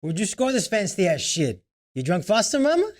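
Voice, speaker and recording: A man's voice: high voice, huge pitch swings, normal speed. A man acting a crude, mocking teenage street punk in slangy talk at a table read. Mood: taunting.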